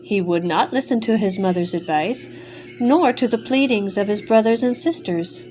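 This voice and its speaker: An older woman reading a bedtime story aloud with an expressive storytelling cadence. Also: medium pitch, moderate pace, faint music behind, poor audio.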